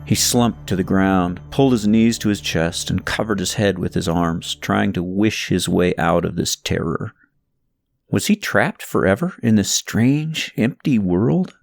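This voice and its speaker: A man narrating a story from a script in an expressive, suspenseful, dramatic way. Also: on the low side, unhurried, creaky, no room sound, soft background music. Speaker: audiobook narrator reading own novel.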